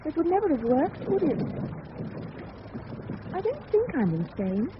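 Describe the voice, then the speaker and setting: A noisy, muffled old radio play features a high English female voice that swings widely in pitch. a desperate, overwrought suburban murderess, halting and intensely dramatic. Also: very animated. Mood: resigned.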